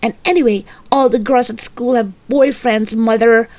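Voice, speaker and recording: A woman's voice: fairly high pitch, fairly even intonation, moderate pace, darkish tone, close to an everyday voice. A woman voicing a stubborn, dramatic little girl in a children's storybook, huffy and boastful, with childish, emphatic protests. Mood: whiny.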